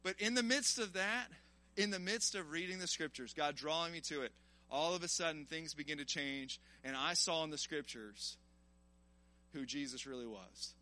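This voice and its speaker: Male preacher, early 40s, high forceful voice, colloquial and exhorting, informal live sermon. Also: normal speed. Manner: animated, colloquial, exhorting the listeners. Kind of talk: informal sermon to a live congregation, with personal testimony.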